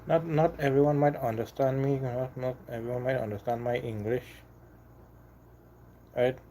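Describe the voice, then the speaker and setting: Trini guy on a live stream, mellow voice, stumbles and repeats himself, a bit of faint noise behind. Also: mid-range voice, fairly even intonation. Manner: halting, repeats himself, apologetic then defiant. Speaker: livestream host. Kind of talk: casual live stream chat.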